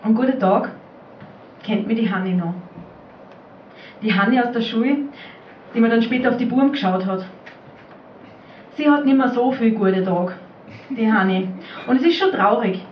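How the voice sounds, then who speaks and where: A woman with a fairly high voice recites spoken word in Austrian dialect, in the role of an old country mother. She is recorded live in an echoey room, some way from the microphone. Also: normal speed, long pauses. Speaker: poetry slam performer. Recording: roomy, distant, muffled live recording, faint noise.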